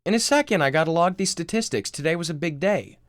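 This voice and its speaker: Man playing a blustering middle-aged dad, animated pitch. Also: quick pace, no room sound, clean studio sound, natural voice. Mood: self-absorbed. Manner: boastful, blustering, exasperated. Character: brash, self-obsessed fitness-mad father in mid-forties.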